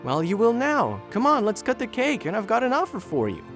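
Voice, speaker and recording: A man voicing a kind, earnest young single mother in a story, lifting his voice higher for her. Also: huge pitch swings, a little fast, fairly bright tone, faint music behind, heavily acted. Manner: raised, lighter storyteller voice.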